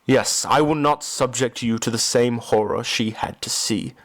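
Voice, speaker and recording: A man playing a brooding, tormented reclusive composer in an audio drama, speaking formally and gravely with deliberate phrasing. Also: medium pitch, natural voice. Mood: anguished, bitter.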